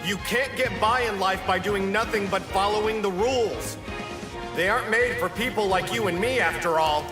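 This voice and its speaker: Theatrical, high-voiced young male anime teacher played by an actor. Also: normal speed, reverberant, over music, natural voice. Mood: defiant, rousing. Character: young male teacher, defiant, self-mocking, theatrical geek. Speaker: voice actor in an English anime dub. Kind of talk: animated anime dub acting.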